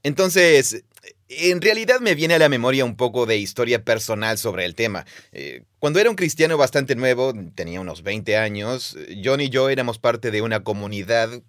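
Male podcast co-host, measured and reflective, dead-dry studio sound. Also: medium pitch, expressive, fairly bright tone, no echo. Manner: thoughtful, measured, with filler "eh". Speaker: podcast co-host, Bible teacher. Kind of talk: casual conversation, storytelling.

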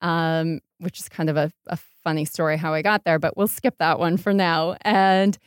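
Female podcast guest, American, lower register, thoughtful and emphatic, conversational, very dry recording. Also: little pitch movement, moderate pace, clean tone, no room sound. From Maine, United States. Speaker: podcast guest, startup entrepreneur. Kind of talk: conversational interview answers.

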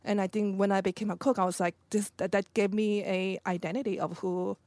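Woman chef talking slow and thoughtful, lots of likes, dry close sound. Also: medium pitch, flat delivery, darkish tone, no room sound. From Korea. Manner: thoughtful, halting with many fillers. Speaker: chef guest. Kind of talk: interview answer.

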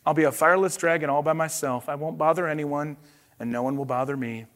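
Storybook little dragon, read by a man: seven-year-old boy, earnest, easily discouraged, high pitch. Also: steady tone, fast, fairly bright tone, lightly acted. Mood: dejected. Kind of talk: children's storybook read-aloud.

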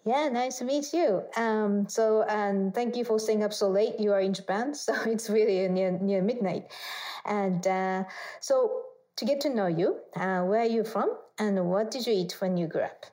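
A woman's voice: medium pitch, normal speed, mellow. Woman hosting a food podcast. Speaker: podcast host. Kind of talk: radio interview with scripted introduction.

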